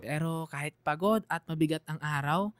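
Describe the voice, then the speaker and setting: A woman with a low-pitched voice narrates a sentimental radio story from a script. Piano music plays clearly beneath her. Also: moderate pace, no echo. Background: piano music under the voice.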